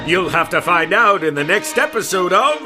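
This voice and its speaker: An actor playing an American narrator of a western serial, reading in a dramatic old-time cliffhanger style. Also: male voice, sits high, sing-song, moderate pace. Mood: suspenseful. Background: music.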